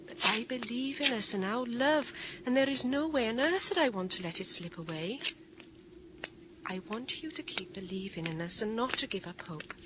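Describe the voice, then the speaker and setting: Woman as tender radio-drama lover, earnest pleading, higher pitch, heavily noisy recording, clicks. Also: natural voice. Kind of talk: radio drama acting, reading a love letter.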